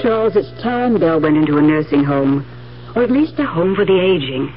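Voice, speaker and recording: An actress playing a fed-up, demanding wife with a sharp temper in a radio drama, nagging and emphatic. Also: female voice, sits low, expressive, brisk, loud music behind the voice. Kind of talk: 1970s radio drama acting. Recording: tinny radio broadcast, faint hum.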